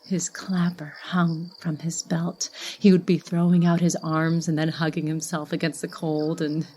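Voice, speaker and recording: Low-voiced woman narrating a story. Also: level tone, unhurried, dark tone, slight background noise. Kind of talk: scripted literary narration.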